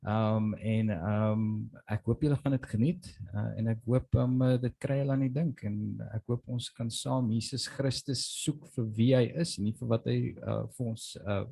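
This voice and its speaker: A man hosting an event who introduces a guest speaker, talking hesitantly with many filler pauses. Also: mid-range voice, steady tone, vocal fry, warm tone, slightly rough. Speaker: host.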